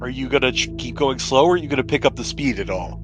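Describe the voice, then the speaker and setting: A man's voice: medium pitch, lively intonation, fast talker, over music. A man acting as game master, narrating a tabletop role-playing game and putting questions to the players.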